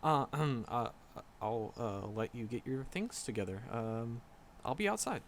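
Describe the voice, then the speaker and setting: A man's voice: medium pitch, lively intonation, a little hiss or hum. A man playing a shy, flustered magic professor in a roleplay audio drama, stammering and nervous as he talks to a secret crush. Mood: flustered, relieved. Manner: nervous, halting, stammering, rambling. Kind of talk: roleplay audio drama, intimate one-sided dialogue.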